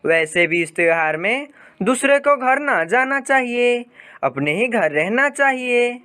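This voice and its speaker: A narrator voicing a poor, weary mother bird who gently cautions her child in a cartoon story. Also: mid-range voice, lively intonation, measured pace, clear, resonant tone, pitched above the performer's own voice. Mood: cautioning.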